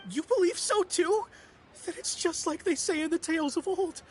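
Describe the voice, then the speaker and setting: High-pitched, panicky young man. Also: normal speed, a little mellow, slight background noise. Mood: fearful, melodramatic. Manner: nervous, pleading, melodramatic. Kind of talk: video game voice acting. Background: game music.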